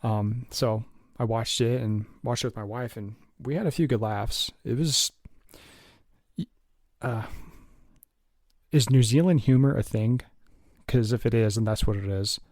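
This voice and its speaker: A man co-hosting a movie podcast, speaking in a halting, candid way with many restarts. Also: mid-range voice, a little mellow, dry recording, clean studio sound. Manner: hesitant, honest, halting restarts. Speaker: podcast co-host. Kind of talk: casual chat.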